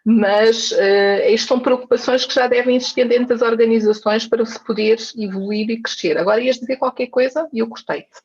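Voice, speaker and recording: A woman's voice: a little high, a little fast, few pauses, a little mellow, breathy edge, highs cut off. A woman from Angola, a guest in a casual conversation, speaking with hesitations and repeated words as she thinks. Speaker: guest, founder of a women's leadership organization.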